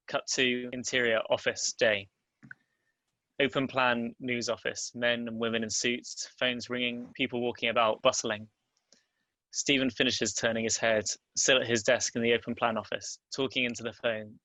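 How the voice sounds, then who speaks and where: A man's voice: medium pitch, sharp tone, no echo, low-quality recording. A man reading stage directions as scripted narration at a table read.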